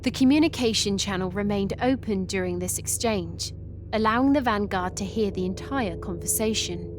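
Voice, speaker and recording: A woman's voice: medium pitch, moderate pace, over music. A woman reading scripted story narration as the narrator.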